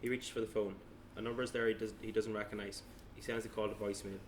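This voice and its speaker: A man's voice: on the low side, little pitch movement, fast, slight background noise. A man narrating stage directions at a table read, plainly, with small stumbles as he reads.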